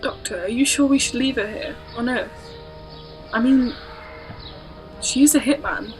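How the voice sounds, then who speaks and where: A woman's voice: a little high, unhurried, soft background music, lightly acted. A woman playing an inquisitive young female companion of a time traveller in a fan audio drama. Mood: friendly, questioning.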